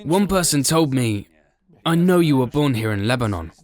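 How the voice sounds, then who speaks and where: A man reading a scripted documentary voice-over, explaining things in an even, explanatory way. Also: medium pitch, normal speed. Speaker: documentary voice-over narrator and translator.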